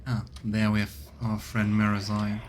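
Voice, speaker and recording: A man's voice: lowish voice, completely flat delivery, normal speed, a little hiss or hum. A man streaming a let's play, reading out the game's narration and adding his own casual commentary. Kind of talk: game commentary and narrated reading of on-screen text.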